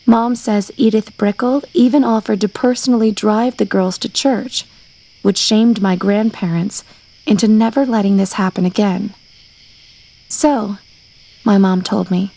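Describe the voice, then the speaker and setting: A woman's voice: mid-range voice, gravelly, dark tone, rough recording. A woman reading a scripted scary story as its narrator.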